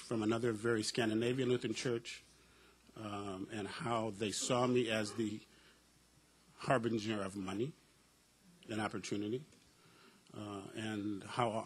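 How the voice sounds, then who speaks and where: A man's voice: on the low side, breathy edge. A middle-aged man from the United States, a professor on a panel, telling reflective personal stories with a wry humor and frequent uh and um fillers. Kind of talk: personal storytelling at a panel discussion.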